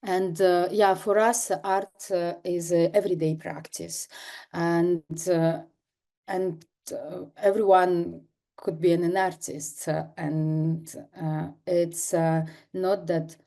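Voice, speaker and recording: A young adult woman from northern Kazakhstan, a native Kazakh speaker, giving a seminar lecture over a video call, halting with many fillers and restarts. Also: lowish voice, steady tone, creaky, dark tone. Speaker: guest lecturer, artist. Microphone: computer mic on video call.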